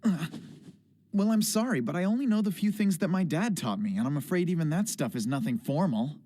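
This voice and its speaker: A woman's voice: fairly low pitch, animated, fairly quick. A woman playing an earnest, bewildered and stubborn teenage boy in an English anime dub. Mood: sheepish. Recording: very loud music behind dialogue. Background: very loud music.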